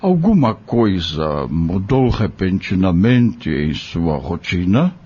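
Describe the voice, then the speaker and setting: Um homem com sotaque brasileiro interpretando um médico bondoso e paciente em radionovela, explicando e aconselhando com gentileza. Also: male voice, low voice, very animated, husky, exaggerated voice. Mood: caring, reassuring. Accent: Brazilian. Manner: slow, explanatory, gentle counsel. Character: kindly male doctor, patient, caring spiritist. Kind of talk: audio drama acting. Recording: dull lo-fi audio.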